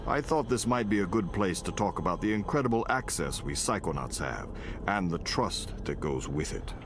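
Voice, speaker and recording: Stern agent mentor: very low, clipped, formal, lively intonation, game cutscene with noisy backdrop. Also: male voice, normal speed. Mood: measured, serious. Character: stern, scholarly male secret agent mentor.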